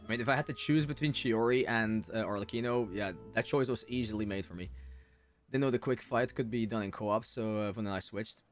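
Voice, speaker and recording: A man chatting casually and a little haltingly on a gaming livestream. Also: fairly low pitch, soft background music. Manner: informal, halting, chatting with viewers. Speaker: game streamer. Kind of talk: casual livestream chat over gameplay.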